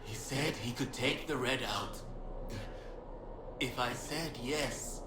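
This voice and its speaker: Man acting a dying, delirious man, slow and halting. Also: medium pitch, reverberant, noisy background, close to an everyday voice. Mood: anguished, haunted. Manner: slow, halting, broken phrases. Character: dying, delirious man consumed by red lyrium. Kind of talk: video game voice acting.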